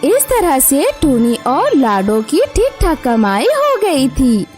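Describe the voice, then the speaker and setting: A woman's voice: high voice, wildly swooping pitch, slow pace, a little mellow. A woman narrating a scripted cartoon story as its storyteller. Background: music.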